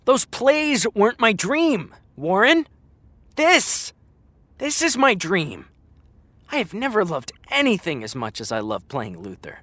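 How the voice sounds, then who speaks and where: A man's voice: sits high, sing-song, a little slow, sharp tone, no echo, heavily acted. A man playing a hurt, fiery actor fed up with an old friend, speaking emphatically and sarcastically in a naturalistic audio drama. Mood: earnest, impassioned.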